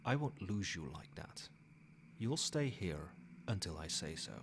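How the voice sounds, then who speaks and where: A man playing a possessive, controlling old vampire in a soft-spoken, measured ASMR roleplay. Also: low-pitched, sing-song, background noise, heavily acted. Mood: stern, insistent. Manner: measured, formal, commanding.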